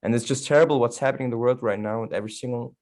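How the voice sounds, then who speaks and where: A man's voice: mid-range voice, rapid-fire, darkish tone. A man from Berlin speaks in a panel conversation with an ordinary mid-range voice. He talks fluently and earnestly, leaning into his points with emphasis. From Berlin, Germany. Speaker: actor.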